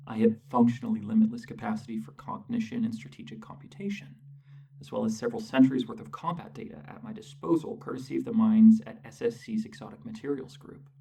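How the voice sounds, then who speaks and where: A performer voicing a bossy, smug AI mech co-pilot in an ASMR roleplay, speaking in a breathy, clipped, commanding way that often drops toward a whisper. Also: medium pitch, darkish tone, recorded from a distance, a little hiss or hum, natural voice. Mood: haughty, bossy. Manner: condescending, clipped, commanding, with deliberate pauses. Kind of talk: ASMR roleplay, soft close-up monologue.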